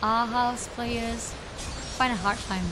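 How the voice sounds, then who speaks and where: A woman's voice: fairly high pitch, steady tone, slow pace, background noise. A woman with an Indonesian accent commentates a game live, chatting playfully and informally with viewers and often repeating her phrases. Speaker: esports livestream caster. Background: music.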